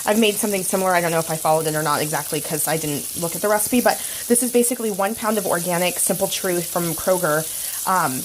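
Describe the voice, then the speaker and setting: A woman's voice: mid-range voice, slight background noise, poor audio. Chatty woman with a cold. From Oregon. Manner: chatty, rambling with many ums. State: has a cold. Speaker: fitness vlogger. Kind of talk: casual cooking vlog. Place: home kitchen.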